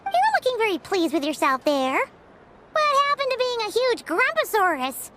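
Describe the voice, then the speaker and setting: A woman's voice: very high-pitched, very animated, normal speed, background noise. A woman voicing a tiny, perky, childlike fairy companion in a video game, exclamatory and easily indignant. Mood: teasing. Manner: refers to self in third person, exclamatory. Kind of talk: video game cutscene acting.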